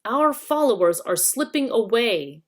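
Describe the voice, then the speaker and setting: A woman's voice: a little high, expressive, unhurried, pitched above the performer's own voice. A woman voicing a bitter, quarrelsome male dwarf rebel with a scornful manner, in a storybook reading. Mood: impatient scorn.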